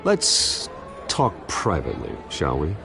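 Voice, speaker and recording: A man's voice: a bass voice, wildly swooping pitch, slow pace, crowd noise, full character voice. A man playing a suave, guarded wealthy playboy with a dry, controlled manner in a video game.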